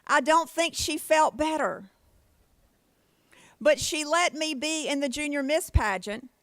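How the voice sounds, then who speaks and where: A woman's voice: high voice, unhurried, fairly bright tone, no echo, clean studio sound. A woman giving a motivational conference keynote with personal stories, in a plain-spoken, folksy way. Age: 50s. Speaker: keynote speaker.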